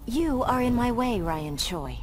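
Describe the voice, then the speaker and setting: A woman's voice: a little high, lively intonation, a little slow, noisy background. A woman playing a confident, challenging warrior superheroine in a video game, bold and playful. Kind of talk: video game pre-fight dialogue. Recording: loud music behind game dialogue.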